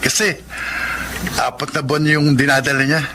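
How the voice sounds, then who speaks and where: A man's voice: fairly high pitch, fairly even intonation, normal speed, background noise, lightly acted. A man acting in a Filipino radio drama as an earnest, trusting young expectant father.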